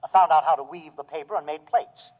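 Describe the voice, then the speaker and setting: Helpful robot acted by a man, slightly high and ringing with reverb, modest and matter-of-fact, for vintage sci-fi radio. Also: quick pace, a little mellow, lightly acted. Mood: calm, obliging. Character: earnest, obliging robot, eager to help his boss. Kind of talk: 1950s radio drama acting.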